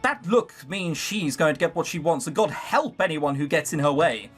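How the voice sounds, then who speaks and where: A man voicing a calm, smirking guitarist, an old bandmate, in a lowered, easy-going voice. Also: moderate pace, husky, faint music behind. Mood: wry. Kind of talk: visual novel voice acting.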